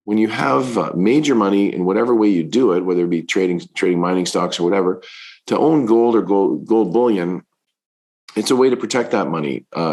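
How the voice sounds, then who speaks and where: Give me a middle-aged male fund manager on a remote call, his voice made deeper by illness, speaking haltingly. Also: darkish tone. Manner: halting, many fillers and restarts. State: recovering from covid, voice deepened. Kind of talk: interview answers.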